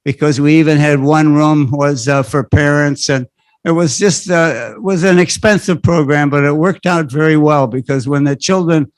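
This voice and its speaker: Older man, smooth, rambling panel talk. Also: fairly high pitch, no room sound. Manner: rambling, halting with restarts. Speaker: retired principal. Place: library event room. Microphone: handheld microphone.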